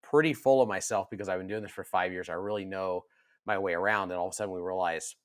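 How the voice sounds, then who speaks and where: A man with a fairly low voice talks through ideas in a casual podcast chat, earnest and animated, often doubling back on his words. The sound is dry and close, with no room around it. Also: fast talker, dry recording. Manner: earnest, animated, frequent restarts and repeated words. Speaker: podcast co-host.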